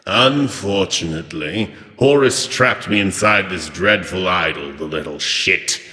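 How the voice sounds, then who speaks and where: An ancient chaos god for an audio drama. The voice is very deep with a bright, forceful edge, ringing in a long reverb. He is theatrical and wry, a grand deity who grumbles like a petty complainer. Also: a little slow. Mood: aggrieved, wry.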